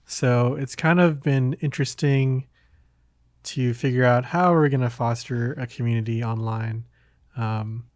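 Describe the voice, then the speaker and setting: A man's voice: medium pitch, darkish tone, dry recording, studio quality. A man hosting a casual podcast, talking in a hesitant way as if thinking aloud. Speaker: podcast host.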